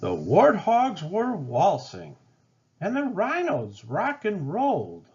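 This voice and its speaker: A man tells a picture-book story aloud with theatrical rhythm. His voice swoops widely and sits fairly high, and he takes his time. The recording sounds a little dull. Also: huge pitch swings, slow, a little mellow.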